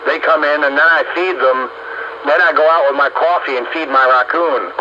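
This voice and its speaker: A man's voice: a little high, moderate pace, sharp tone. A middle-aged or older man in casual, folksy, plain-spoken chat, speaking over a two-way radio. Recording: muffled, noisy two-way radio transmission.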